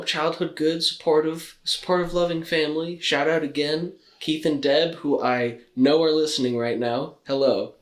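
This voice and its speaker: A young man co-hosting a podcast in casual chat, with dry, self-mocking humor. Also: a little high. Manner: dry, joking self-mockery. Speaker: podcast co-host. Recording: roomy, echoey room.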